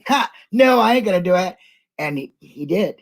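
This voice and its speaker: A woman's voice: on the low side, expressive, moderate pace. A middle-aged woman hosting a casual live online discussion, chatting in a colloquial, rambling way. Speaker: host of an online talk show.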